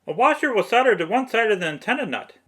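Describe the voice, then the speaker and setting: A man's voice: sits high, very animated, fast talker, a bit bright, husky. A man reading scripted how-to narration for a hobby restoration in a methodical, matter-of-fact way. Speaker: hobbyist restorer narrating own repair video.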